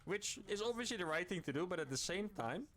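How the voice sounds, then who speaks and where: A man's voice: high-pitched, expressive, fast talker, a bit bright. A man commenting on his own strategy game match, explaining and thinking aloud, with filler words.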